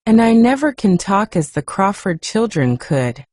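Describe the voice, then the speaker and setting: Female reader as contrary little storybook girl: lonely, curious, soft and mellow, lilting. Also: mid-range voice, big pitch swings, measured pace, dry recording, clean studio sound. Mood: sullen, lonely.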